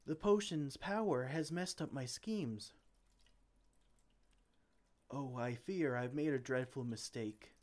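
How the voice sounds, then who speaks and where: A man's voice: mid-range voice, takes it slowly, long pauses, darkish tone, lightly acted. A man playing a flustered, well-meaning potion-brewing mage in an ASMR roleplay, theatrical and exclamatory. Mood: dismayed. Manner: theatrical, exclamatory, speaks to a silent assistant.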